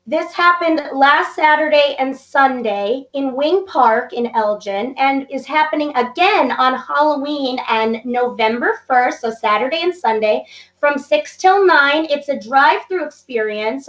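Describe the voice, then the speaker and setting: Hoarse, high-voiced upbeat woman. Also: a bit bright, echoey room, rough recording. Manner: upbeat, eager and gracious. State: a little hoarse. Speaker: interview guest, wrestling entertainer and actor. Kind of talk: casual interview chat.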